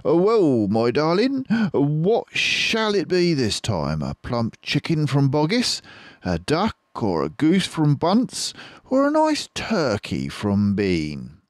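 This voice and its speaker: A man voicing a clever, debonair fox who talks smoothly and courteously to his wife in a storybook. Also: fairly high pitch, wildly swooping pitch, slow, no room sound, studio quality, voice pushed up, full character voice. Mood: fond, jaunty. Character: clever, debonair male fox, fond husband.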